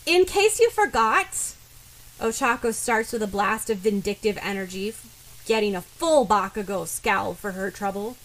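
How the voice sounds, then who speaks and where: A woman's voice: medium pitch, fairly even intonation, normal speed, low-quality recording. A woman reading fan fiction aloud as scripted story narration. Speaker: fan fiction podfic reader.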